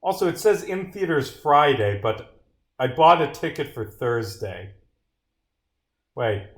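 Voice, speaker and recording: A man with a mid-pitched voice talks to himself on a casual livestream. He reads bits aloud as he works and grumbles in sweary asides. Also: sing-song, slow. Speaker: streamer, game developer. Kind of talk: casual livestream muttering while reading and bugfixing script aloud. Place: indoors at a computer, streaming.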